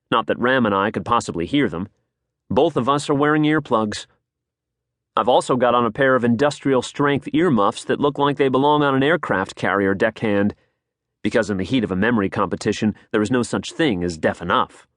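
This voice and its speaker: An American man reading a nonfiction audiobook in a polished, expressive storytelling manner, close to a studio microphone. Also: mid-range voice, dry recording. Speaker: audiobook narrator. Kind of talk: scripted nonfiction audiobook narration.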